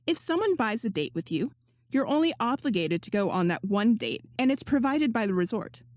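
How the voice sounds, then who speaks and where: A woman's voice: fairly high pitch, sing-song, a little fast, a little mellow, no room sound, clean studio sound. A woman voicing a confident, breezy young woman in a story, teasing and sure of herself. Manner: teasing, assured, sarcastic.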